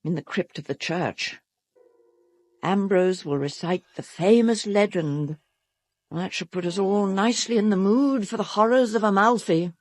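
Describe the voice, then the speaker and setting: Imperious, husky British leading lady played by an actress. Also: mid-range voice, a little mellow, no echo, close to an everyday voice. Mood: brisk, assured. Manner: grand, commanding, brisk. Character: imperious, theatrical married actress who fears for her safety. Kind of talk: audio drama acting.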